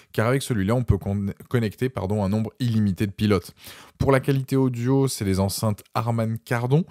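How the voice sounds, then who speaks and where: A man's voice: fairly low pitch, a little mellow, no echo, clean studio sound. A man presenting a scripted product review voice-over, informally addressing viewers directly.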